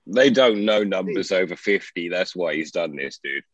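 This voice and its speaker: A man's voice: sits low, expressive, normal speed, dry recording, muffled recording. A man joining a casual livestream chat, teasing and joking.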